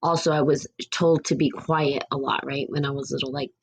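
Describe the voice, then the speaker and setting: Woman podcast host, low raspy voice, meandering reflective delivery. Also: fairly even intonation, brisk, slight background noise. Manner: rambling and reflective, with frequent restarts. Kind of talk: casual spiritual podcast talk.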